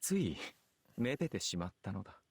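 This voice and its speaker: A man's voice: lowish voice, big pitch swings, very fast, gravelly, husky, no echo, clean studio sound, exaggerated voice. An actor playing an elegant assassin swordsman spirit in a Japanese visual novel, with archaic, poetic, teasing phrasing. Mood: amused, admiring.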